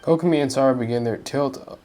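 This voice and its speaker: Very low-voiced woman reacting to a game. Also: fairly quick, warm tone, a little hiss or hum. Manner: informal, amused. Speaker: game streamer. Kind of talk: casual reaction commentary over gameplay. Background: game music.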